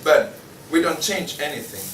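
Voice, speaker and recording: A man's voice: on the high side, animated, moderate pace, recorded from a distance, lightly acted. A man playing a jaded, disillusioned journal editor in a table read of a stage play, stumbling now and then over his lines. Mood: weary, bitter. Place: indoor room, table read. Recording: echoey room from afar, faint noise.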